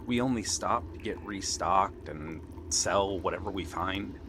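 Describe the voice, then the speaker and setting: A man's voice: deep voice, measured pace, background noise. A man playing a nervous, bookish young pirate prince in an ASMR roleplay, hesitant and earnest. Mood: wistful, earnest.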